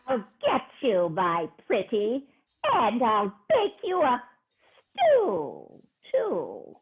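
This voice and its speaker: A woman's voice: a little high, huge pitch swings, drawn-out words, husky, heavily acted. A woman playing a silly, stubborn witch in a stage play, with a sing-song, petulant way of talking. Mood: menacing. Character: silly grumbling female witch, childish and stubborn. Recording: thin phone-like stage recording.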